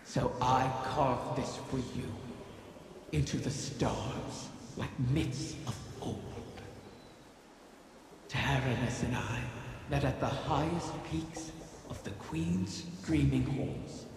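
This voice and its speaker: An ancient, cunning dragon from a video game, speaks in slow, solemn phrases. The voice is gravelly and formal, drenched in long cavernous reverb. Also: male voice, medium pitch, noisy background. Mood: elegiac. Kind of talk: scripted video game dialogue. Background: music.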